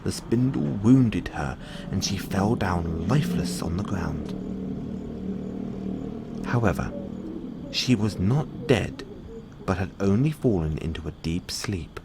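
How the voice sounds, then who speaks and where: A man reading a fairy tale aloud as a bedtime storyteller, in an expressive scripted narration. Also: low voice, slow pace, vocal fry, long pauses, heavy background noise.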